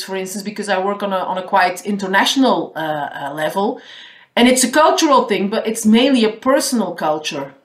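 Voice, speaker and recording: A woman from Belgium whose first language is Dutch, speaking with a Flemish accent as a guest in a conversational interview, pausing and repeating words as she searches for phrasing. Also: medium pitch, a bit bright, reverberant.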